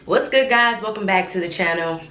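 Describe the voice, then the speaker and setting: A woman's voice: medium pitch, brisk, bright, forceful tone, reverberant. A young woman vlogger with a congested voice from a cold, chatting casually to camera with lots of fillers and restarts. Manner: chatty, rambling, frequent fillers.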